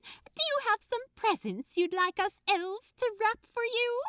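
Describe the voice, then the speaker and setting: A woman's voice: very high-pitched, a little slow, fairly bright tone, dry recording, studio quality, pitched above the performer's own voice. A woman voicing a relentlessly cheerful female Christmas elf volunteer in a story, with bubbly, perky customer-service enthusiasm. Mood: bubbly, excited.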